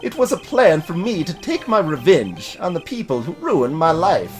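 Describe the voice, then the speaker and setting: A bitter old man out for revenge, voiced by a man, speaks dramatically and deliberately. His pitch moves a great deal, with game music playing behind him. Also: big pitch swings, a little mellow. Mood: bitter confession. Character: bitter, vengeful old man confessing in court.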